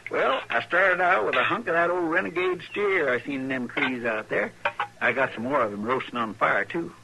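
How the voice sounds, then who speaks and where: An actor playing a loyal, stubborn frontier deputy with a rural Western American accent and folksy, ungrammatical talk, in an old-time radio western. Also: male voice, fairly high pitch, brisk, vocal fry. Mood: encouraging. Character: loyal, stubborn frontier deputy, plain-spoken country man. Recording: noisy vintage radio drama recording.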